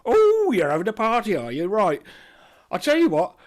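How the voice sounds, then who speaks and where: A man's voice: sits high, wildly swooping pitch, moderate pace, pitched above the performer's own voice. A man voicing a fussy, chatty male apothecary who cheerfully sells poisons with eager sales patter. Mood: chirpy, salesy.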